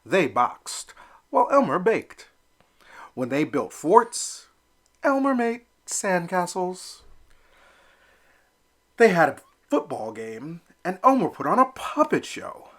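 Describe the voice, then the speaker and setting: Brief: expressive teacher narrating a picture book, unhurried and bright. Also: male voice, high-pitched. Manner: expressive and animated. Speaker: teacher reading aloud. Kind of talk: children's read-aloud narration.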